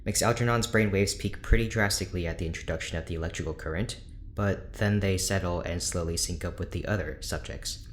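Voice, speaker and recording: A man's voice: low voice, brisk, slight background noise, lightly acted. A man playing a reserved, weary research doctor in an audio drama, speaking in a guarded, hesitant, clinical way.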